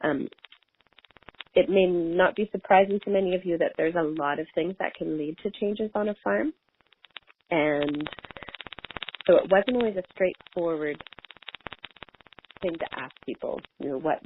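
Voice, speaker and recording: A woman speaks over a narrow phone connection with faint noise on the line. She presents report findings informally, like a consultant on a conference call. Her delivery is halting, with words often repeated and rephrased. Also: sits low. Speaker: evaluation consultant presenting results. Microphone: telephone on a conference call.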